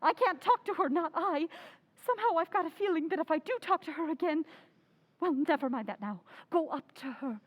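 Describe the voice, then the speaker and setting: A woman acts a domineering, anxious wife in a radio horror play. Her voice is high and swings dramatically in pitch, scornful and imperious though full of fear. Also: expressive, brisk. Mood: anxious dread. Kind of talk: old-time radio horror acting.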